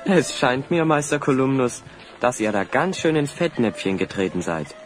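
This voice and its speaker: An actor playing a sensible, quick-witted adventurer in a children's audio drama. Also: male voice, medium pitch, sing-song, soft background music, close to an everyday voice. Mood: wry.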